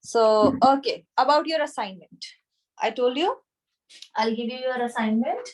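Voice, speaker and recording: Slow-paced Indian-accented woman teacher. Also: on the high side, poor audio. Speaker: anatomy teacher at a yoga school. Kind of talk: informal online lesson. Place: small room with a whiteboard, indoors. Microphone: device camera a short distance away, video call.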